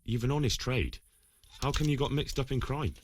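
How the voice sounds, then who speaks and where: An actor playing an earnest, inquisitive and slightly cheeky young commoner in a medieval video game. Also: male voice, medium pitch, expressive, normal speed, heavy background noise, natural voice. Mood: probing. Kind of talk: video game dialogue acting.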